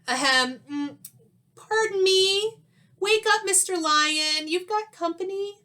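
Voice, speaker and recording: A woman voicing a tiny, eager, polite male mouse with a squeaky voice, in a children's storybook. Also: slow pace, pushed and bright, full character voice. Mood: nervous, polite. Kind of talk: read-aloud children's storybook.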